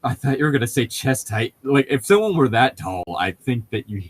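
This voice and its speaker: Young man bantering, very animated pitch. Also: brisk, husky, no echo. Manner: profane, bantering. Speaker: co-host reading a book aloud with commentary. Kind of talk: casual joking chat.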